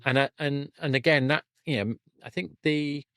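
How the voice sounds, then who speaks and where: A man's voice: on the high side, normal speed, a bit bright, clean tone, no echo, clean studio sound. A man chatting casually as a podcast host, thinking aloud and often correcting himself mid-sentence. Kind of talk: casual conversational interview.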